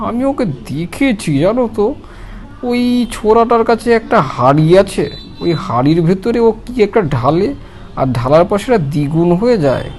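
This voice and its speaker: A man's voice: sits high, a little mellow. A man voicing an eager, greedy, gossipy village sidekick in a cartoon. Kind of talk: cartoon character voice.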